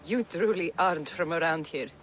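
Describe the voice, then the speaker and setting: A woman's voice: lowish voice, normal speed, a little hiss or hum, natural voice. An actress playing a tough, dry, protective young mercenary woman in a video game, speaking in a blunt, wry way. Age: young adult. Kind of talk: video game cutscene acting.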